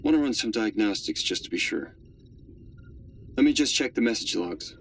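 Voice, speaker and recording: An actor playing a calm, dutiful astronaut crewmate in a cinematic sci-fi game, speaking in an understated, matter-of-fact way. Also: male voice, on the low side, normal speed, slight background noise. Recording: music behind the voice.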